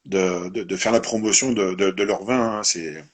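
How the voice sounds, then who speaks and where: A man's voice: sits low, steady tone, normal speed, husky. A man hosting a live-streamed interview, talking in a meandering way and tagging his sentences with "hein". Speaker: host of a live winery presentation.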